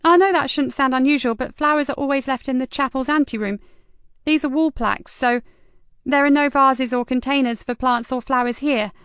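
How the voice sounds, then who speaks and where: A woman's voice: sits high, steady tone, brisk, a bit bright, clear, resonant tone, dry recording, studio quality, voice pushed up. A woman putting on an eager young receptionist. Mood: uncertain. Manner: eager and self-important, rising to frightened cries. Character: young female crematorium receptionist, eager, then panicked.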